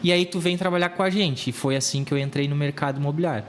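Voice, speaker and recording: A man's voice: a little high, quick pace, a little hiss or hum. A man in his thirties with a Rio Grande do Sul accent, a real estate agency owner telling his story as a podcast guest, often repeating words as he searches for them. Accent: Rio Grande do Sul Brazilian Portuguese. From southern Brazil. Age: 30s. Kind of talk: casual interview talk.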